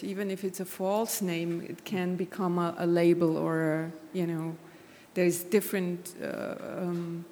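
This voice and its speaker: A woman's voice: on the low side, warm tone. A woman artist speaking on a panel, searching for words with many restarts. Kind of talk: panel discussion. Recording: long hall reverb.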